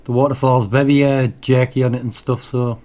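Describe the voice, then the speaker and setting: A man talking off the cuff as a radio hobbyist reviewing his gear, in a halting, repetitive, enthusiastic way. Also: medium pitch, little pitch movement, mellow. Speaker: radio hobbyist presenting own channel. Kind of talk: casual off-the-cuff equipment review.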